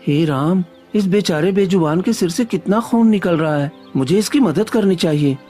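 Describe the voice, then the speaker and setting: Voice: mid-range voice, moderate pace, mellow, natural voice. A performer voices a kind, honest young villager from a moral tale. The delivery is earnest and devout, with faint music behind it. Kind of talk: acted dialogue in Hindi moral story.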